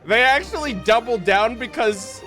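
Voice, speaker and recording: A man's voice: very high voice, normal speed, pushed and bright, soft background music. A man live streaming a game, chatting informally and jokingly with his viewers in slangy language. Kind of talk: casual game streaming chat.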